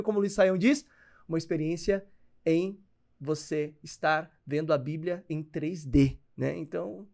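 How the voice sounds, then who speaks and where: High-voiced upbeat podcast host man. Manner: upbeat, promotional, lively delivery. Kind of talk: enthusiastic podcast hosting.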